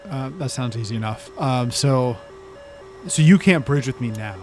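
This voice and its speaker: A man's voice: medium pitch, normal speed, darkish tone, faint music behind. A man playing a tabletop game, improvising conversationally and searching for words. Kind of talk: conversational in-character improvised roleplay.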